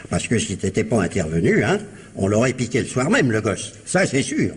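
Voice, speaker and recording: Very husky, wry policeman. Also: fairly low pitch, huge pitch swings, normal speed, heavily acted. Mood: wry, scolding. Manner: blunt, familiar, wry. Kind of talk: 1950s-style French radio crime drama acting.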